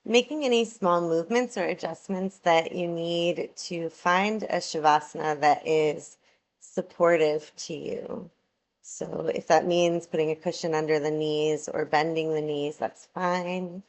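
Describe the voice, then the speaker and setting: A woman guiding a yoga relaxation meditation, giving soothing, measured instructions with long pauses between phrases. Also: deep voice, fairly even intonation.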